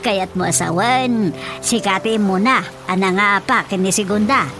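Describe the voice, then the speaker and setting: A woman's voice: mid-range voice, normal speed, lightly acted. A woman acting a nosy, teasing village friend in an Ilocano radio comedy drama. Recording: radio drama over prominent music bed.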